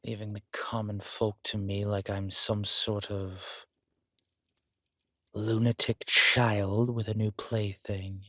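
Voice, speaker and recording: Male actor as menacing king, slow delivery, husky, controlled, vengeful, intimate roleplay audio. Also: lowish voice, level tone, no room sound, studio quality, full character voice. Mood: seething. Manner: measured, quietly menacing, velvety politeness.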